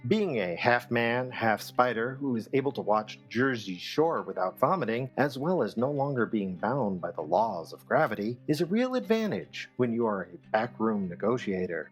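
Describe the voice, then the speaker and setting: A man reading a scripted comic radio show as its host, in a measured, deadpan manner over background music. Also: mid-range voice.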